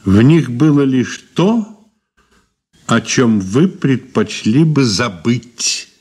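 Sly old man, animated delivery. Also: mid-range voice, slow pace, breathy edge. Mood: guarded, dry. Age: older. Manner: courteous, sly, condescending endearments. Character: shrewd, ironic old man being questioned. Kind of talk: Soviet radio play acting, 1980s.

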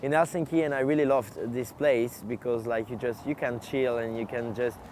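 A young man with a French accent, speaking haltingly with many fillers, interviewed outdoors on stone steps. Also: medium pitch, steady tone, darkish tone, a little hiss or hum. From France. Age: young adult. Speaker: exchange student. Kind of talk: documentary interview.

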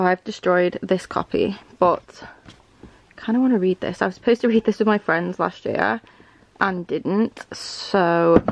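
A woman's voice: mid-range voice, vocal fry. Chatty young woman, indecisive rambling. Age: 20s. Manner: rambling, thinking aloud, indecisive. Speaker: book vlogger. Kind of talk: casual chatty vlog. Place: small furnished room at home.

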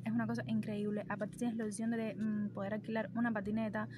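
A woman's voice: mid-range voice, level tone, fast talker. A young Cuban woman with a Cuban Spanish accent, chatting enthusiastically to her phone camera outdoors. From Cuba. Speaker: vlogger. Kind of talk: casual vlog talk to camera. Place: outdoors by trees on a street. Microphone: phone camera held at arm's length. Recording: outdoor street noise behind.

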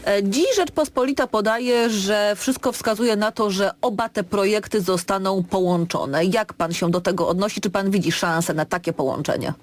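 A woman's voice: medium pitch, moderate pace, hardly any pauses. A woman hosting a radio interview, polite and formal, steering the guest with time cues. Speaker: radio host.

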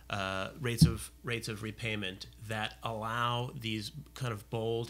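A man in his thirties, a guest at a studio interview, speaking in a polished, formal way with many brief pauses. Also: mid-range voice, a bit bright. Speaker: guest, government agency official. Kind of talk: interview answers. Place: small radio studio. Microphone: studio mic on stand.

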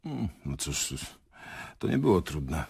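Deep, husky older theatre director. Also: male voice, sing-song, slow, a little mellow, dry recording, studio quality, exaggerated voice. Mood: reflective, confessional. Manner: earnest, hesitant, reflective. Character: older male theatre director, reflective, earnest, confessional. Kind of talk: radio play acting.

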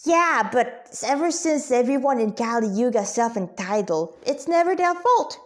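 A woman voicing a brash, excitable female cat spirit in a story, slangy and emphatic. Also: fairly high pitch, moderate pace, lightly acted. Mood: indignant.

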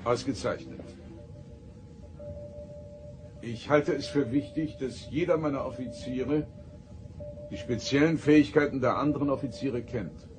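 An actor playing an authoritative, probing starship captain in a sci-fi audio drama, formal, measured and commanding. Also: male voice, medium pitch, over music, natural voice, poor audio. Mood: diplomatic.

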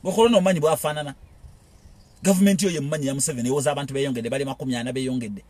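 A man's voice: mid-range voice, steady tone, darkish tone. A man with a Ugandan accent giving an impassioned political monologue, repeating phrases and firing rhetorical questions. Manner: rapid, repetitive, rhetorical questions. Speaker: political commentator.

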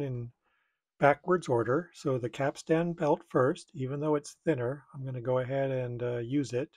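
Middle-aged male DIY presenter, husky and relaxed, tentative thinking-aloud delivery for hands-on tutorials. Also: mid-range voice. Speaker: repair video maker. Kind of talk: casual hands-on tutorial commentary.

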